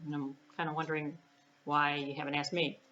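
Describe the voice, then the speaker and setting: A woman's voice: sits low, level tone, brisk, sharp tone, muffled recording, a little hiss or hum, pitched below the performer's own voice. A woman voicing a nosy duck in a children's puppet story, wheedling and hesitant, not wanting to be left out. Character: nosy duck who hates being left out.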